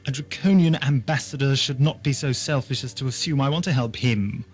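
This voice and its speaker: A man's voice: medium pitch, brisk, faint music behind, natural voice. A man playing a wry, inquisitive time-travelling adventurer in an audio drama, dry, polite and quippy. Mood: insistent, dry. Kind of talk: amateur audio drama acting.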